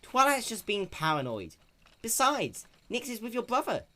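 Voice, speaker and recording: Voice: mid-range voice, very animated, normal speed, pushed and bright, voice pushed up. A performer voicing a casual, apologetic young boy dragon with a youthful, slightly whiny manner. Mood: defensive, casual.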